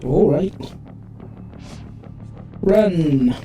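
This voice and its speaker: A game streamer comments casually on play at a very slow, halting pace. The voice is mid-pitched and echoes in the room, with game music behind it. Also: expressive, dark tone. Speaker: gaming video host. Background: video game music.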